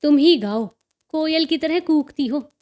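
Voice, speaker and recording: Woman acting a touchy high-voiced young wife. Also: measured pace. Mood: irritated. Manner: sharp, curt retorts. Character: touchy, sulky young married daughter-in-law, easily offended.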